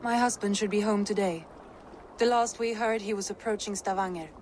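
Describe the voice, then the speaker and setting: A woman's voice: mid-range voice, fairly even intonation, fairly quick, a little mellow, slight background noise, natural voice, low-quality recording. An actress voicing a composed, formal female advisor in a video game cutscene. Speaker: game character.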